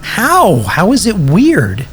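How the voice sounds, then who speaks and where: An actor playing a bitter, self-pitying wasteland survivor in an audio drama, sarcastic, profane and defensive. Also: male voice, high-pitched, wildly swooping pitch, slow, fairly bright tone, faint music behind, exaggerated voice. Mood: pleading, defensive.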